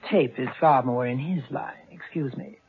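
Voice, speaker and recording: A woman's voice: rumbling low, moderate pace, slightly rough, exaggerated voice. An actress playing a poised, imperious society lady with refined speech in a 1970s radio drama. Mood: gracious. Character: poised female society head. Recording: dull old radio recording.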